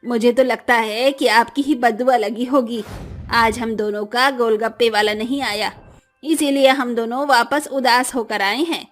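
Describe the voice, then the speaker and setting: A woman voicing excitable, bossy women of a family in a cartoon comedy, emphatic and exclaiming. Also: a little high, measured pace, soft background music, natural voice. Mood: grumbling. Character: bossy, excitable mother-in-law and daughter-in-law obsessed with street snacks.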